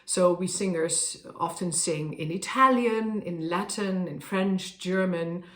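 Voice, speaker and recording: A woman from the Netherlands with a Dutch accent, a professional singer explaining her work in a thoughtful way with soft fillers, speaking at her harpsichord. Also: on the low side, takes it slowly, reverberant. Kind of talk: casual explanation to camera. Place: room with a harpsichord, indoors.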